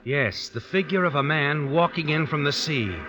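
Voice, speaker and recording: An actor playing an ominous, all-knowing storyteller, narrating an old-time radio mystery in a suspenseful, insinuating manner. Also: male voice, a little high, moderate pace, background noise, natural voice. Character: ominous, all-knowing shadowy storyteller who walks by night. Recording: muffled vintage radio recording. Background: music.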